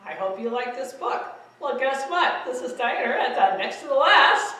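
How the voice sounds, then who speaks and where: A woman librarian reading bedtime stories to children in a gentle, friendly storytelling manner. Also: sits high, animated, normal speed. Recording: echoey room.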